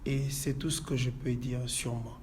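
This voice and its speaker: Mellow, measured Congolese-born pastor. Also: male voice, medium pitch, flat delivery, a little hiss or hum. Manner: measured, preacherly. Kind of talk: calm interview answers and prayer. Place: inside a church.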